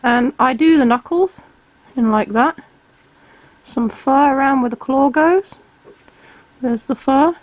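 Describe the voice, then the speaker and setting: A woman, calmly explaining each step of a drawing tutorial while she sketches. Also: sits high, slow, pauses often, dry recording, muffled recording. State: has a cold, slightly hoarse. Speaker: art tutor.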